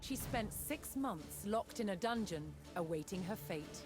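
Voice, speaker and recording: A woman delivering scripted historical documentary narration in a measured, solemn storytelling manner. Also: medium pitch, lively intonation. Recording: prominent music behind the voice. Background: music.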